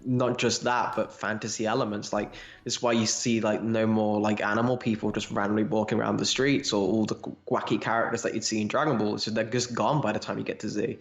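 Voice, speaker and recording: A man's voice: lowish voice, level tone, fairly quick, few pauses, lo-fi sound. A man co-hosting a podcast in a casual fan discussion, phrasing things in a hesitant, roundabout way. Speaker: podcast co-host. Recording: roomy, echoey voice recording.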